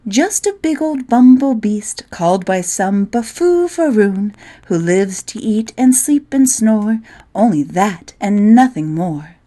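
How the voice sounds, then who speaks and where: A woman reads rhyming verse as a storybook narrator, playful and theatrical, with measured phrasing. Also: mid-range voice, animated, warm tone. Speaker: poetry reader.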